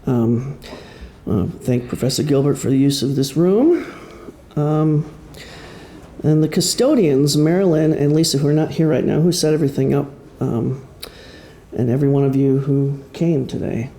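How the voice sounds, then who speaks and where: A man giving an informal talk from notes as an author, speaking in a halting, hesitant, self-deprecating way. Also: a little high, warm tone. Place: room at a public presentation. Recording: clear background noise, room ambience.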